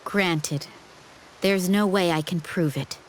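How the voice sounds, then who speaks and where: Low, regal warrior spirit. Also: female voice, a little hiss or hum. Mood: cool, resolute. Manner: measured, commanding, formal. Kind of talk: English video game dub acting.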